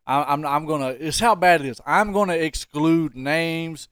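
A man's voice: a little high, moderate pace, a bit bright, clean tone, no room sound, clean studio sound. A man co-hosting a podcast, telling stories casually and emphatically in folksy, colloquial language. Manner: colloquial, emphatic, repeats phrases for effect. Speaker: podcast co-host. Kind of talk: casual comic storytelling.

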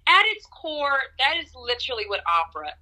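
A young woman opera singer chats with an interviewer, her voice bright and sharp-edged. She tells stories with animated energy, laughing often and jumping upward in pitch at the exciting parts. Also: brisk, rough recording. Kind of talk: conversational interview storytelling.

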